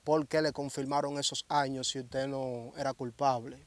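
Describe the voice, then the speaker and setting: A young man asking interview questions in a measured, earnest way, outdoors on a handheld mic. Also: mid-range voice, flat delivery. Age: young adult. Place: outdoors under a tree in a grassy field.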